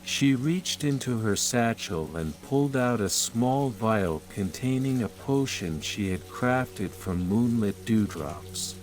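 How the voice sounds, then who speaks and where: A man narrating a scripted bedtime fairytale in a measured, soothing manner. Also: on the low side, slight background noise, poor audio. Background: rain and calm music.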